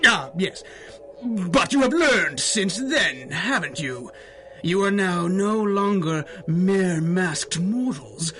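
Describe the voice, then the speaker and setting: Scheming old sorcerer villain for radio serial: high, slow, swooping, pompous menace. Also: male voice, lively intonation, bright, forceful tone, full character voice. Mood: vengeful. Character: malevolent scheming sorcerer villain posing as humble old shopkeeper. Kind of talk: 1930s-style radio drama acting.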